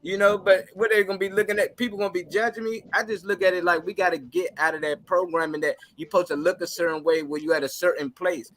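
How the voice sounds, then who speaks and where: A man's voice: high voice, steady tone, fast talker, few pauses, fairly bright tone. A man on a talk show panel chatting casually and arguing his points emphatically. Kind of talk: casual debate chat.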